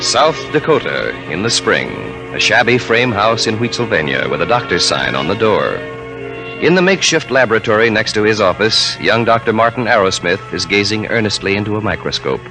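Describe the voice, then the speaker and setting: A man's voice: fairly low pitch, sing-song, brisk, music in the background. An American man narrating a scripted 1940s radio drama as announcer, solemn and dramatic.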